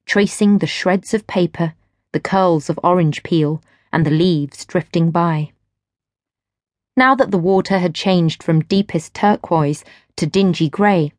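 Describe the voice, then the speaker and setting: A woman reading literary fiction as an audiobook narrator, in measured, expressive storytelling. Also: fairly low pitch, dry recording. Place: dry studio.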